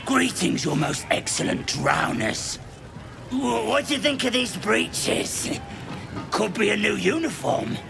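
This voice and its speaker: A man playing a dim, chatty goblin looter in a video game, with a croaky voice and an English working-class accent. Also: high voice, big pitch swings, takes it slowly, over music, heavily acted. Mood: cheeky, showy. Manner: chatty, uneducated. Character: dim, cheeky goblin looter with a secret soft side. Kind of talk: video game character acting.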